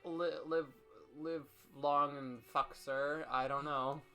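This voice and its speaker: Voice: medium pitch, steady tone, takes it slowly, bright, forceful tone, faint music behind. A guest commentator in casual comic banter, joking and swearing with a wry manner.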